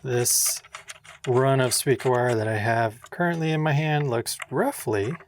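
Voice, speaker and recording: A man's voice: mid-range voice, measured pace, slight background noise. A man narrating a hands-on vlog casually, thinking aloud and talking to himself with short exclamations. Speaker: home theater DIY vlogger. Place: indoor home theater room with drop ceiling.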